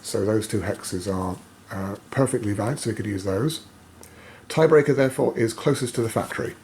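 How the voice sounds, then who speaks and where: A man with a fairly low, croaky voice commentates casually on his own solo board game. He reasons out loud and pauses hesitantly as he goes. There is some faint noise behind his voice. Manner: thinking aloud, hesitant pauses. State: croaky voice, coughing now and then. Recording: noticeable background noise.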